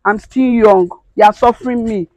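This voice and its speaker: A woman's voice: on the high side, normal speed, mellow, a little hiss or hum, close to an everyday voice. An actress playing a young wife who is worn down by her blind husband, talking in pleading, repeated phrases. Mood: cold. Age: young adult. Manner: pleading, repeating words over and over. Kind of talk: dramatic skit acting.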